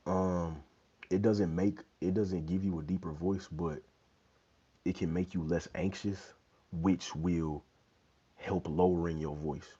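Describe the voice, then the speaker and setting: A young man with an extremely low, dark and husky voice chats casually on a livestream, loose and slangy, tossing in "you know what I'm saying" again and again. He talks into a wired earbud mic in a small bedroom, and the phone audio sounds dull and lo-fi. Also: fairly even intonation, slow. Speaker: fitness livestream host. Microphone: wired earbud mic, phone held low.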